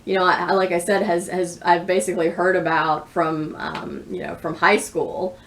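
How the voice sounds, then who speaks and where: A woman's voice: fairly low pitch, flat delivery, moderate pace. A woman in her thirties chatting casually about books as a vlogger, with thoughtful, bookish phrasing. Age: 30s. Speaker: book vlogger. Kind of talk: casual book review chat to camera. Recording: distant mic with clear background noise.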